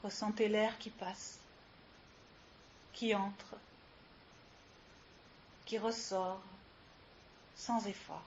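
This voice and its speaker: A woman leading a guided yoga relaxation as instructor, giving soothing, unhurried instructions with long pauses, recorded live. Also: medium pitch, flat delivery, darkish tone. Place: live session with ambient sound. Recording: muffled live recording with clear hiss.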